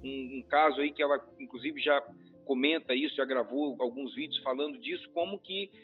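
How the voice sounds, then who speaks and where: Hesitant Brazilian podcast host, lo-fi. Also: male voice, mid-range voice, a little fast. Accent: Brazilian Portuguese. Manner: hesitant, thinking aloud with restarts. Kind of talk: podcast interview. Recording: dull lo-fi audio with faint music.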